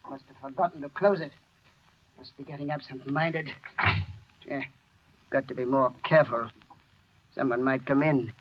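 A man's voice: medium pitch, fairly even intonation, moderate pace, long pauses, a little hiss or hum, natural voice. An actor playing a gleefully insane poisoner in theatrical, gloating 1930s radio drama style, cackling as he talks. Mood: furtive, wary. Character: gleefully insane male poisoner, a laboratory worker. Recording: muffled vintage 1930s radio recording.

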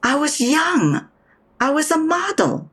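An actress playing an older mother, a former model, wistful and unashamed, with a husky voice in an audio drama. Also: high-pitched, very animated, slow, fairly bright tone, exaggerated voice. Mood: wistful, defensive.

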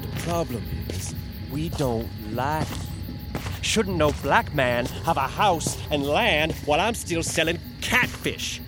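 An actor playing a menacing, taunting mob leader with a folksy Southern US accent in an audio drama. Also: male voice, on the high side, animated, slow pace. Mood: hateful, menacing. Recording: loud music behind the voice.

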